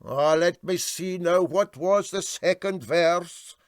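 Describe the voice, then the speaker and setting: A man voicing a vain, sentimental and self-important steamboat skipper with a Scottish Highland accent, for a storybook. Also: takes it slowly, no room sound, studio quality. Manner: ponderous, self-important, hesitant.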